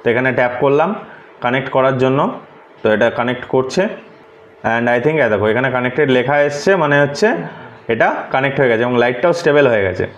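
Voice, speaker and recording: A man's voice: mid-range voice, quick pace, dull audio. A man gives a casual hands-on tutorial as a vlogger, explaining things and mixing English words into his sentences. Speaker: vlogger presenting a product unboxing.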